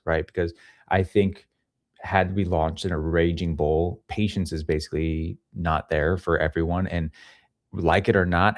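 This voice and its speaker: A man's voice: low voice, a little mellow, no echo, studio quality. A man in his thirties talking casually as an interview guest, explaining things into a podcast microphone in a small room. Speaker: guest, head of ecosystem at a crypto project. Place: small home room with studio gear, indoors. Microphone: podcast microphone on a boom arm.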